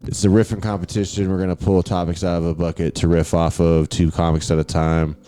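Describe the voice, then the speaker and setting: A man's voice: deep voice, flat delivery, fairly quick, warm tone, clear, resonant tone. A man co-hosting a comedy podcast, easygoing, plugging shows. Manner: short agreeing replies, easygoing. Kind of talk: casual podcast chat.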